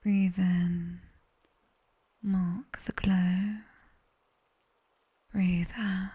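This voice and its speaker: British woman doing a demon tutor asmr, super breathy whispering, mellow n really slow, strict and teasing. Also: lowish voice, level tone, heavily acted. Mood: hushed, stern. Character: sharp-tongued female nightmare demoness tutor, teasing and commanding. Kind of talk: whispered ASMR roleplay, slow guided instruction. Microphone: close binaural microphone.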